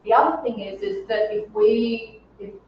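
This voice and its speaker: A middle-aged woman professor with a slightly scratchy voice giving an academic conference lecture, explaining research clearly with occasional ums. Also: a little high, fairly even intonation, moderate pace. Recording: distant, echoey, dull-sounding room.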